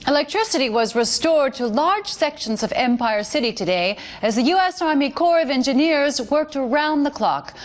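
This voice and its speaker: An American television news anchor from a video game. Her voice sits a little high, and she reads scripted bulletins in a formal, emphatic way. She sounds polished and earnest, every phrase official and measured. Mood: upbeat, official. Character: polished, earnest propaganda TV newswoman.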